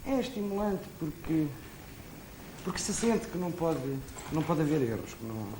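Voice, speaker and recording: A man's voice: on the high side, big pitch swings, moderate pace, warm tone, rough recording. A man, a TV camera operator, answering interview questions earnestly and repeating his points. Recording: old VHS TV recording, faint hiss.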